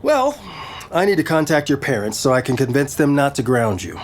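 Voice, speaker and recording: An affable, evasive captain in an audio drama. The voice is low, with lively inflection and a reassuring, explanatory manner. There is faint ambience behind it. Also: female voice, brisk, slight background noise, full character voice.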